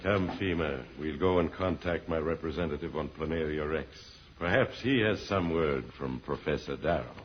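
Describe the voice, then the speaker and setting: An actor playing a tyrannical, impatient villain in an old-time radio serial, commanding and threatening. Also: male voice, very deep voice, moderate pace. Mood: scheming. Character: tyrannical villain plotting conquest of Earth, menacing, impatient. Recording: muffled vintage radio recording, hollow reverb, hiss.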